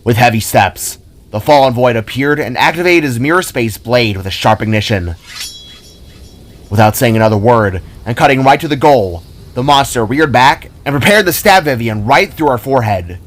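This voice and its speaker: A man's voice: mid-range voice, animated, normal speed. A man reading his own scripted action story as narrator, in a dramatic storytelling style. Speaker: author-narrator. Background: music.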